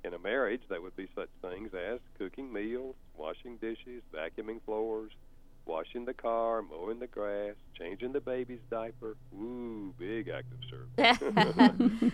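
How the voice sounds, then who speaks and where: Older man, Southern US accent, low lively voice, folksy storytelling, tinny phone-like sound. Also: measured pace. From North Carolina. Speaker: author and counselor guest. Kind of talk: explaining on a talk show.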